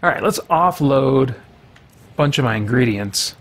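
A man's voice: mid-range voice, lively intonation, normal speed. A middle-aged man giving casual let's play commentary as a gaming host. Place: indoor room with bookshelves. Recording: faint noise, game ambience behind.

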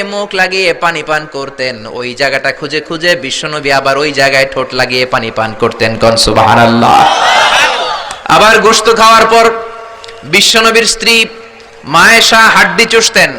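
Piercing, high-voiced male preacher. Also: bright, forceful tone, slight background noise. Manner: emphatic, declamatory, repeatedly prompting listeners to respond. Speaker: Islamic preacher. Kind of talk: fervent sermon storytelling with call-and-response to a crowd.

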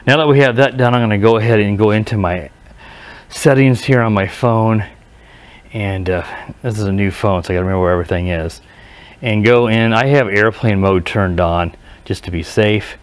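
Man, lowish voice, casual product review, halting and self-correcting, recorded outdoors on a head camera. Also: smooth. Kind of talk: casual hands-on review commentary. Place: outdoors in a residential driveway. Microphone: head-mounted action camera.

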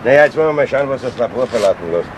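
An actor playing a middle-aged Munich chief inspector in a crime radio play, with a Bavarian accent and a dry, ironic, courteous authority. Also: male voice, mid-range voice, expressive, very fast, lightly acted. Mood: businesslike. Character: wry, unhurried male Munich police chief inspector. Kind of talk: 1970s German crime radio play acting. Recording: noisy old 1970s radio recording.

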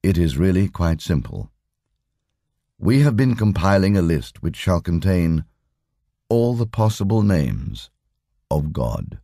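An imperturbable lama , acted out by a male audiobook reader, speaks with formal patience. His voice is low and measured, recorded dry and close. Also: sing-song, warm tone, dry recording, heavily acted. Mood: calm, explanatory.

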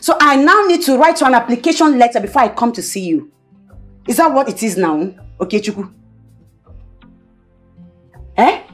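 A woman's voice: fairly high pitch, lively intonation, normal speed, long pauses, soft background music, lightly acted. A woman playing an exasperated girlfriend. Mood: sarcastic. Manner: scolding, mocking, emphatic. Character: frustrated young woman tired of waiting to marry. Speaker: actor. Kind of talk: Nigerian film drama acting.

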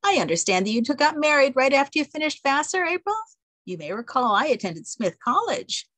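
A woman voicing a frail but upright elderly great-aunt who speaks in a prim, formal, measured way. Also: sits high, very animated, fairly bright tone, dry recording, voice pushed up. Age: older.

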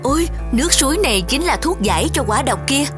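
A woman's voice: high voice, animated, fast talker, music in the background, voice pushed up. A woman voicing an earnest, trusting young king with a courtly manner in a children's fairy-tale cartoon. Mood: determined.

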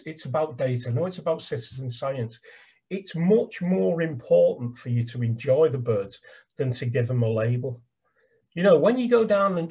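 A man's voice: fairly high pitch, gravelly, a little mellow, breathy edge. An older man with an English accent, chatting enthusiastically over a video call about birdwatching, repeating words as he talks. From England. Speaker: birdwatching guide. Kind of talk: casual video call chat. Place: small room at home. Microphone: webcam on a video call. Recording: laptop video call audio.

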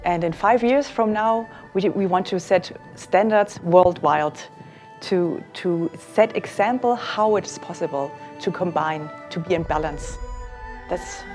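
A woman's voice: on the low side, unhurried, darkish tone, soft background music. A woman in her forties speaking earnestly in a documentary interview, repeating words as she makes her point.

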